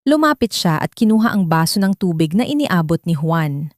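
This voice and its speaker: A woman reading a scripted dramatic story in a measured, sentimental, literary manner. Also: lowish voice, very animated, no room sound, studio quality. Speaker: narrator of audio love stories.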